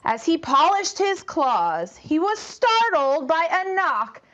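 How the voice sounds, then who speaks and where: A woman teacher reads aloud to young children in a high, expressive voice. She takes her time, explains as she goes and invites them to join in. The recording sounds a bit dull. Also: slow. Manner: animated, explanatory, prompting listeners to join in. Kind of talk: interactive storybook read-aloud.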